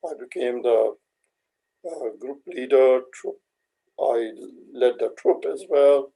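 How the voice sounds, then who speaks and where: An older man from India with an Indian accent, a native Hindi speaker, recalling his life in a halting, measured way as an interview guest. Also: medium pitch, creaky, dark tone, rough recording. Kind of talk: reflective personal recollection.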